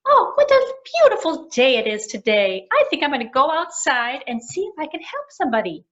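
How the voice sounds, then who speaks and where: A woman voicing a sweet, cheerful young princess who is kind to everyone, in a gentle, sing-song voice, on a dull lo-fi recording. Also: high-pitched, big pitch swings, fairly quick, pitched above the performer's own voice.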